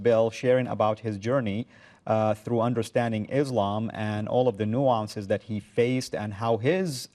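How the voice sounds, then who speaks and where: A man's voice: medium pitch, a little mellow. Hesitant male talk show host from Saudi Arabia. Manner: hesitant, many fillers. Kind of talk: talk show hosting and personal testimony.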